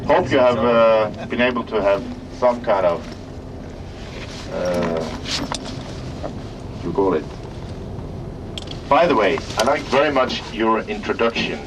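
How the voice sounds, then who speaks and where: A man with a Norwegian accent leads a tour in a lowish voice, stopping now and then to find the English words. He is heard from some way off the mic. Loud traffic and machinery noise fill the outdoor recording. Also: animated, recorded from a distance. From Norway. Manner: halting, searching for English words. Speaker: tour guide at an industrial plant. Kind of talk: guided tour commentary. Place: outdoors at an industrial site.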